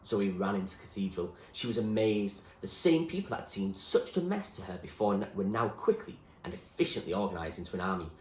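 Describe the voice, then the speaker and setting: A man reading a novel aloud as expressive storybook narration, like a teacher reading to a class. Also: on the low side, fairly quick, hardly any pauses, reverberant, low-quality recording.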